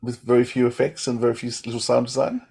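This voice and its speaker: A man's voice: medium pitch, little pitch movement, fast talker, vocal fry, darkish tone, husky. A man giving his opinions as a podcast panelist, often repeating words as he starts a thought. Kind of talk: casual opinionated discussion.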